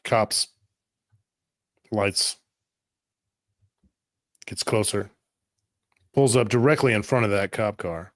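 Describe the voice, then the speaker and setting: A man's voice: fairly low pitch, slow, long pauses, fairly bright tone, no room sound, clean studio sound. A man narrating a tabletop roleplaying game as game master, in dramatic, clipped present-tense phrases.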